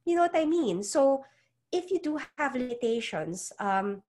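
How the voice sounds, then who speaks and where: A woman's voice: sits high, big pitch swings, normal speed, a little mellow. A woman for whom English is a second language, a psychologist and author coach giving advice as an interview guest, earnest and often repeating words as she finds her phrasing. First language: not English.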